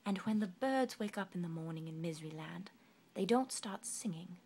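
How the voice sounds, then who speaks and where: A woman narrating a children's storybook expressively, in a hushed, deliberate storytelling manner. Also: on the low side, animated, slightly rough. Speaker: storybook reader.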